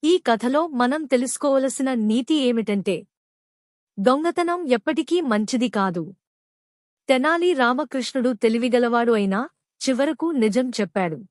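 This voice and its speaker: Female narrator for children's moral stories, high voice, dry close sound. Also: animated, a little slow, no room sound. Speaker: narrator giving the moral of the story.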